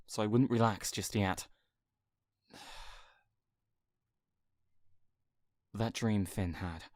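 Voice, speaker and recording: A man's voice: fairly low pitch, dry recording, close to an everyday voice. A man playing a blunt, irritable and protective uncle in an audio drama. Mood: worried. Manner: blunt, exasperated. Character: irritable, protective uncle, gruff and worried, swears freely.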